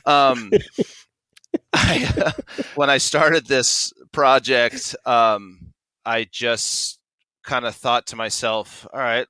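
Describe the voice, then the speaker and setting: A man's voice: mid-range voice, pushed and bright, clean tone, no echo. A man in his forties speaking conversationally as an interview guest, explaining his creative work with enthusiasm. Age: 40s. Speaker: guest, audio drama producer.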